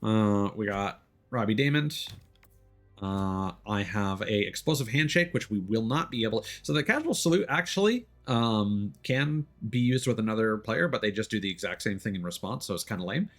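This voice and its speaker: A man's voice: on the low side, expressive, moderate pace, a bit bright. A man streaming a video game, giving casual, rambling, informal commentary as he explains how it works. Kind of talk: casual let's-play commentary.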